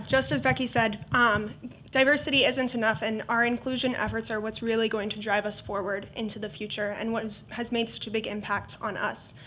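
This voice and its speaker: A woman's voice: on the high side, fairly bright tone, a little hiss or hum. Young woman giving an earnest speech. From Boise, Idaho. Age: young adult. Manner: earnest, reading from prepared remarks. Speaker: student speaker. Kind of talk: prepared speech.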